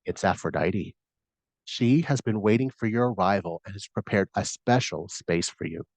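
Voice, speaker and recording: A man narrating a scripted guided meditation as the guide, giving instructions in a soothing, measured manner. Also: on the low side, a little mellow, no echo.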